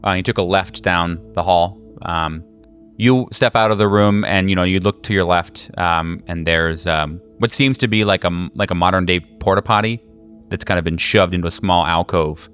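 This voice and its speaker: Low, smooth-voiced man game mastering. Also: fairly bright tone, soft background music. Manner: halting, slangy, jokey. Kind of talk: casual improvised tabletop horror narration.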